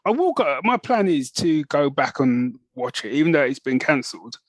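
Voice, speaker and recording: A man's voice: a little high, big pitch swings, moderate pace, no room sound. Chatty man on a podcast. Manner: chatty, rambling with restarts. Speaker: podcast co-host. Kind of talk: casual chat.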